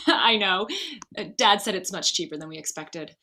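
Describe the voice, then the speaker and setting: A woman's voice: lowish voice, moderate pace, pushed and bright. A woman playing an upbeat, eager bride-to-be in a screenplay table read, gushing and reassuring.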